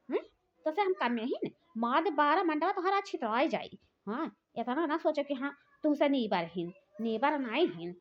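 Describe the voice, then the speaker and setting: A woman voicing a quarrelsome, earthy village sister-in-law in a cartoon comedy, scolding and complaining with an Awadhi accent. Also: high voice. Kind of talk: rustic cartoon comedy dialogue. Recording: dull lo-fi sound.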